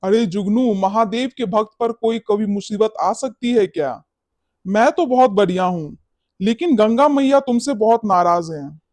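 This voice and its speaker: A man's voice: sits high, unhurried, darkish tone, dry recording. A man playing a greedy, glib and bossy village priest in a cartoon bedtime story, scolding and cajoling. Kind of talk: animated bedtime story character acting.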